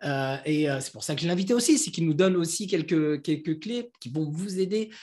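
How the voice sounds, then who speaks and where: A man's voice: a little high, lively intonation, brisk, darkish tone. A man hosting a casual podcast, asking long, rambling questions with many fillers. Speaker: podcast host.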